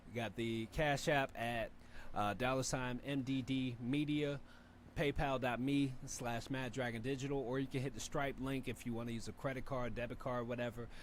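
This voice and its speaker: A laid-back man with a deep voice talks through mixing advice for a rap review stream, rambling and peppered with fillers and swearing. His pitch sits mid-range for a man. A faint hum of room noise runs under him. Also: fairly even intonation, normal speed. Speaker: rap review show host and engineer. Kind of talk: casual spoken feedback and mixing tips.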